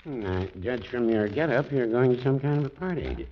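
An actor playing a mild older small-town druggist in a 1940s radio comedy, deadpan and hesitant. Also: male voice, medium pitch, normal speed, natural voice. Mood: mild, dry. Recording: muffled, noisy old radio recording, clicks.

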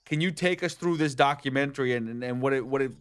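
A man's voice: medium pitch, fast talker, smooth. A man in his late thirties hosting a podcast, asking long, rambling questions with many restarts. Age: 30s. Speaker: podcast host. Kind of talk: interview questions.

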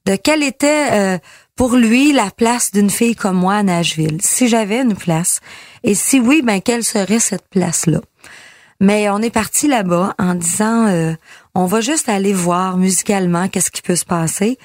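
A woman from Quebec with a Québécois French accent tells her story in a candid, unhurried way. She often stops on small fillers and restarts. Her voice sits mid-range and sounds dry and close, with no room echo. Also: mid-range voice. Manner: candid, halting with frequent fillers. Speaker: country singer. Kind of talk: personal storytelling.

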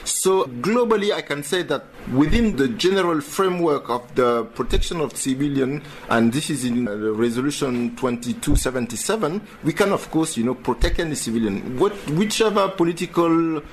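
A man's voice: fairly high pitch, expressive, normal speed, a little hiss or hum, low-quality recording. A man, an official, answering an interview question in a hesitant way with fillers. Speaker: UN mission official.

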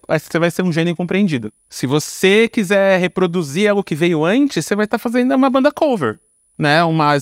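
A man's voice: high-pitched, no room sound, studio quality. A Brazilian man chatting casually as a podcast guest, informal and slangy, thinking aloud as he goes. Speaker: podcast guest, filmmaker and screenwriter. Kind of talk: casual chat.

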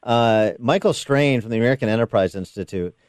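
A man hosting a talk radio show, speaking in a measured, deliberate way with frequent pauses. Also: mid-range voice, darkish tone, smooth, no echo, clean studio sound.

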